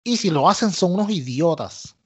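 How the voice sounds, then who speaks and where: A man's voice: high-pitched, animated, normal speed, a bit bright, breathy edge. A man with a Puerto Rican accent co-hosting a podcast, giving opinionated casual commentary, emphatic and repetitive, dropping English phrases into his Spanish. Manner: emphatic, repeats himself, mixes English into Spanish. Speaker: wrestling podcast co-host. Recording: dull lo-fi audio.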